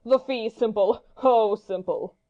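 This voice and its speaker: A woman's voice: a little high, takes it slowly, lo-fi sound. A woman playing a hot-headed, witty young swordsman in a Shakespeare audiobook, taunting and theatrical in long-winded speeches. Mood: mocking, defiant. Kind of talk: Shakespeare audiobook dramatic reading.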